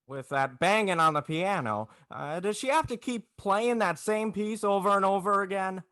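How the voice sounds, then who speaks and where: An actor playing an agitated small-town family man in 1940s radio drama, halting and stammering as he talks. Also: high-pitched, lively intonation, pushed and bright, no room sound, clean studio sound. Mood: irritable, frantic. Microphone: close, dry video-call microphone.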